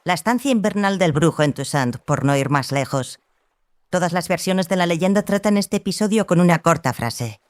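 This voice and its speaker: A woman playing an assured, scholarly female mentor in an audiobook drama, speaking with conviction and deliberate, questioning phrasing. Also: on the low side, expressive, no room sound, natural voice. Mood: assured, probing.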